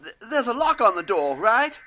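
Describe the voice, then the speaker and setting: No-nonsense survivor chief for radio play female actor: folksy, forceful edge, animated pitch, slightly noisy recording. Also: moderate pace. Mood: urgent, exasperated. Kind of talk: audio drama acting.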